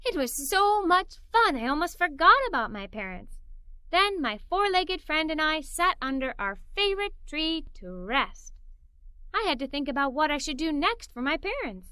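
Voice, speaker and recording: A woman reading a children's story aloud in the first person, with animated, expressive delivery. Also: high-pitched, normal speed, no room sound. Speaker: storybook narrator.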